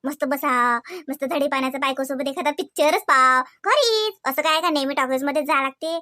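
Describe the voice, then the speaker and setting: A woman's voice: high-pitched, a little fast, pushed and bright, dry recording, clean studio sound. A woman playing a chatty, dreamy, playful young housewife in a comedy cartoon, speaking with a Varhadi Marathi accent from Vidarbha in a dramatic, giggly manner. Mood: wistful grumbling.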